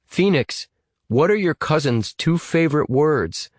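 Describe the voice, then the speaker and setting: A man's voice: mid-range voice, lively intonation, unhurried, darkish tone, no echo, studio quality, pitched above the performer's own voice, lightly acted. A man voicing a young male computer geek who talks casually, directly and eagerly in an audiobook story. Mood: steadying.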